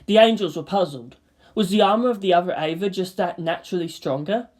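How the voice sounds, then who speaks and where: A woman's voice: on the low side, a little fast, darkish tone. A woman narrator reading fan fiction as dramatic scripted narration.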